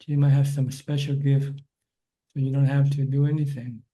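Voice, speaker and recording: A man from Thailand, a Buddhist monk, giving calm, plain spiritual advice over a video call. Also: medium pitch, moderate pace. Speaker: Buddhist monk answering questions.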